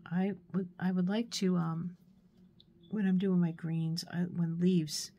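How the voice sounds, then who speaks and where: A woman with a stuffy nose from a cold, giving a relaxed, chatty painting tutorial with fillers and soft asides. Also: on the low side, a little slow. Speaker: art tutor.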